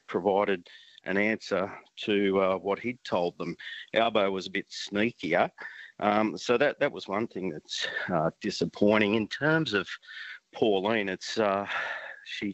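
A man's voice: low voice, fairly bright tone, dry recording, dull audio. A man with an Australian accent calling in to a radio show, speaking hesitantly with many fillers. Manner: hesitant, lots of ums. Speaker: caller.

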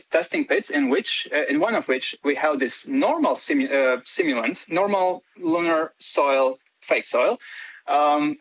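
A man's voice: on the high side, no echo, lo-fi sound. A man from Latvia, a PhD student guest on a radio interview, talking hesitantly with many fillers and restarts.

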